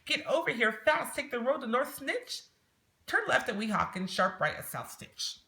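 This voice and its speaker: A woman's voice: a little high, big pitch swings, normal speed, vocal fry, sharp tone, pitched above the performer's own voice, heavily acted. A woman voicing a brash, greedy storybook businessman who talks in boastful, rhyming salesman patter. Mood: excited, urgent. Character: brash, greedy, self-justifying male businessman.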